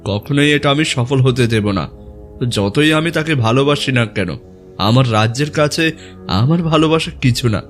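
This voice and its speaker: A man's voice: medium pitch, normal speed, faint music behind, lightly acted. A man playing a betrayed, resolute young prince in a fairy-tale cartoon. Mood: indignant.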